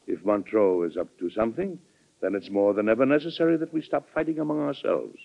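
An actor playing a measured, conciliatory president in an old radio drama, on a tinny vintage recording. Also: male voice, lowish voice, expressive, gravelly. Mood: calming. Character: calm, conciliatory male president. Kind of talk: 1940s radio drama acting.

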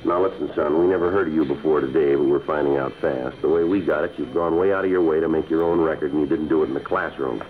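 An actor playing a stern police sergeant, asking curt, flat, matter-of-fact questions in an old radio crime drama. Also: male voice, extremely low pitch, fast, few pauses. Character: stern clipped adult male police sergeant. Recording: tinny old radio broadcast.

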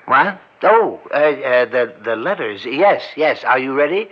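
An actor playing a timid, gullible gentleman in old-time radio comedy, hesitant, stammering and earnest. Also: mid-range voice, sing-song, measured pace. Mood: flustered, distracted. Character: timid, flustered, gullible gentleman, dreamy businessman. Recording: muffled, faintly noisy old radio recording.

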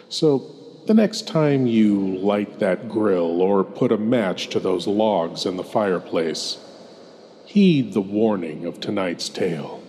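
A male horror host narrates ominously in a very low voice, taking his time over each phrase. Long reverb trails his theatrical delivery. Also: a little mellow. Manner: slow, deliberate, ominous. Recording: long reverb, faint background noise.

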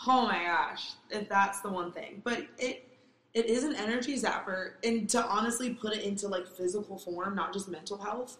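A raspy-voiced woman in her twenties chatting candidly and telling a personal story. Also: mid-range voice, moderate pace, a bit bright. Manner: candid storytelling, swears freely. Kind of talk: casual chat. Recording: echoey room.

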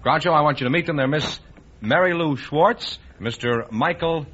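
A man's voice: on the high side, normal speed, fairly bright tone, breathy edge. A man announcing a 1950s radio show in a presentational style. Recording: dull, muffled old radio recording.

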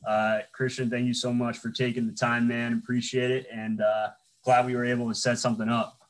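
A man's voice: medium pitch, flat delivery, fairly quick, rough recording. Young male host, loose and rambling, filler-heavy casual delivery. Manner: rambling, filler-heavy, says "man" often. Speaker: interview host. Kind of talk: casual interview.

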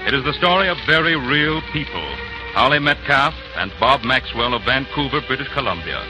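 A man's voice: mid-range voice, expressive, fairly quick, rough recording. A man narrating a 1940s radio broadcast as announcer, in a formal, solemn manner. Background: music.

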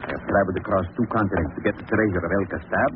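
A man playing a menacing foreign villain in an old radio drama, speaking deliberately and with cold threat. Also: low voice, lightly acted. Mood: menacing, demanding. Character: menacing foreign villain hunting a treasure map. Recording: noisy, tinny old radio broadcast.

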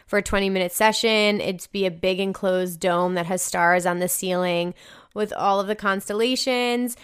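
A woman's voice: mid-range voice, steady tone, moderate pace, fairly bright tone, clean tone. A young woman with a New Jersey American accent, a podcast host reading listener emails aloud and reacting in an effusive, slangy, casual way with some swearing. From New Jersey, United States.

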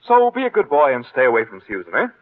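An actor in a 1940s-style radio drama playing an odd, fawning blackmailer, formal and precise, with quiet menace under the politeness. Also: male voice, mid-range voice, wildly swooping pitch, fast talker, narrow, tinny audio, over loud music. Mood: quietly threatening. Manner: formal, precise, deferential with veiled threat. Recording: band-limited vintage radio recording.

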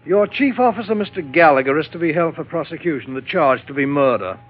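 An actor playing a smug, precise police inspector with a formal, insinuating manner in a classic radio drama. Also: male voice, fairly high pitch, lively intonation, a little fast, slightly rough. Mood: officious. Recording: dull vintage radio recording.

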